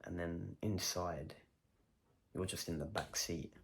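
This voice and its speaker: A man's voice: low voice, steady tone, slow pace, creaky, fairly bright tone, slight background noise. A man vlogging a personal video diary, talking in a reflective, rambling way and questioning himself as he goes.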